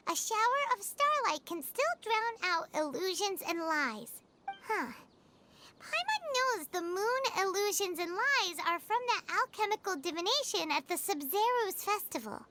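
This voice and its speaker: A woman voicing a tiny, excitable childlike fairy companion in a video game, with a squeaky, expressive delivery. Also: a little slow, noisy background, full character voice. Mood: pondering. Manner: expressive, refers to herself by name.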